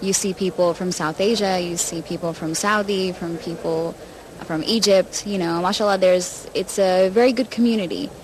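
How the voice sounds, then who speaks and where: A woman's voice: steady tone, normal speed. A young woman with an American accent, a student giving casual, conversational answers in an interview. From United States, New York. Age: young adult. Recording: noisy venue with background rumble.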